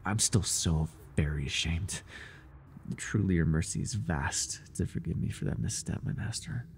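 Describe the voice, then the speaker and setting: A man playing an obsessive, jealous high priest in an ASMR roleplay, fawning over his god in grandiose, stammering, pleading speech. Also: moderate pace, slight background noise, natural voice. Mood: reverent, wistful. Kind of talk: ASMR roleplay monologue, dramatic acting.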